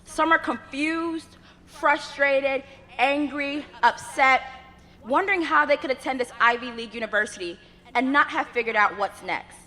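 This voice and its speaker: A woman's voice: high-pitched, bright, forceful tone. Earnest young woman speaking in a reverberant hall. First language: Yoruba. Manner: earnest, measured oratory with rhythmic lists. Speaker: graduate student speaker. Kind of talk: commencement address. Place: graduation ceremony venue. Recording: long reverb of a large ceremony space.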